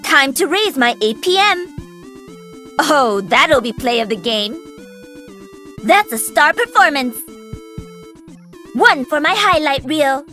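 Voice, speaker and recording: A woman's voice: sits high, sing-song, slow pace, long pauses, sharp tone, heavily acted. A woman playing a cocky, playful young pro-gamer mech pilot in a video game, brash and upbeat. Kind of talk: video game hero voice lines. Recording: music behind.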